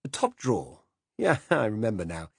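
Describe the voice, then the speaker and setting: A man's voice: on the low side, wildly swooping pitch, moderate pace, creaky, breathy edge, no room sound, clean studio sound, voice pushed up, full character voice. A man voicing an elderly, absent-minded upper-class British official who stammers and apologizes. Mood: dithering.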